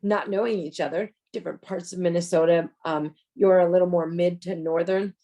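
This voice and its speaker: A woman's voice: lowish voice, fairly even intonation, brisk, warm tone. A woman co-hosting a podcast, chatty and personal, laughing easily and dropping in plenty of fillers. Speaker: podcast co-host. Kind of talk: casual podcast discussion.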